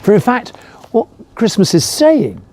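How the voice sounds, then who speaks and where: A man giving a reflective sermon as a rector, speaking in a measured way with rhetorical questions. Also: very high voice, wildly swooping pitch, darkish tone. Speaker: rector preaching a Christmas message.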